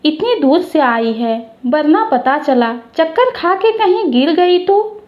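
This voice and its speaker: A fretting young man, protective and quick to grumble or tease, as a woman storyteller plays him. The voice is high and very lively in its swings, with unhurried delivery. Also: roomy sound, muffled recording, heavily acted. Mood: teasing. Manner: complaining, teasing.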